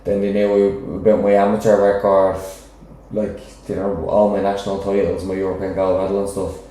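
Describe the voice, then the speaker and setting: A man's voice: low voice, flat delivery, moderate pace, mellow. A young man with a Dublin accent, a professional boxer chatting casually as a guest, rambling and often asking "do you know what I mean". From Ireland. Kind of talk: casual interview chat. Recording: very echoey room.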